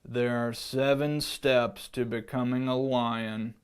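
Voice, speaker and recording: Man putting on a stern lion teacher, deep and gruff, slow deliberate delivery, soft and low. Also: steady tone, natural voice.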